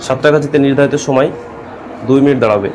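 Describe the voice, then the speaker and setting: Fast-talking man narrating a trip. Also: medium pitch, noisy background. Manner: fast, informative tour-guide telling. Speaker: travel vlogger. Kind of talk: travel narration voice-over. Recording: music bed under voice-over. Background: guitar music.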